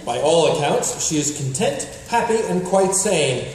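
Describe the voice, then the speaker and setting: Man as old-time serial narrator: grandiose, wry, swooping pitch, heard from afar in a big reverberant hall. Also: very animated, measured pace, darkish tone. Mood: wry grandeur. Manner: grandiose, melodramatic with dry humour. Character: bombastic, wry serial announcer of pulp adventures. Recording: very echoey hall, far mic, noise.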